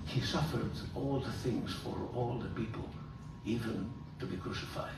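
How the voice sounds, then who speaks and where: A man's voice: normal speed, background noise. Older male priest, emphatic lecture, roomy echo, heard from afar. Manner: animated, gesturing, emphatic rises in pitch. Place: large room with hard walls. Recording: roomy hall echo, from far off.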